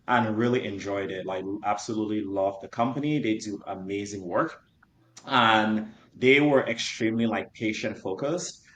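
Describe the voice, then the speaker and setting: Earnest founder in an echoey room. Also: male voice. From Cameroon. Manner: earnest, detailed storytelling with fillers. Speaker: startup founder. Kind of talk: interview answers. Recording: roomy echo, faint rumble.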